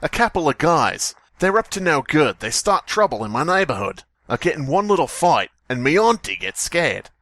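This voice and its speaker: A man's voice: a little high, very animated, moderate pace, few pauses, a bit bright, no echo. A man co-hosting a comedy podcast, reading a story aloud in a jokey, sweary way and breaking off to mock the text. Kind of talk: comic read-aloud with casual riffing.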